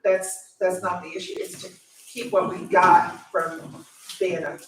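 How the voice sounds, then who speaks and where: A woman's voice: lowish voice, level tone, a little slow, slight background noise. A woman talks from her seat in a rambling, chatty way, circling back and restarting her points. She sounds far from the microphone in an echoey meeting room. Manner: rambling, chatty, speaks seated. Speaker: resident speaking at public comment. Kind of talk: conversational public comment. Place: county commissioners court meeting room.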